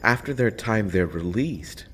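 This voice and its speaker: A very low-voiced, sardonic male villain acted by a man speaks slowly from his prison in an audio roleplay. He taunts theatrically, drawing out phrases with mocking pauses, over clearly audible background noise. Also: lively intonation, a bit bright. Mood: mock-impressed. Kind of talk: scripted audio roleplay acting.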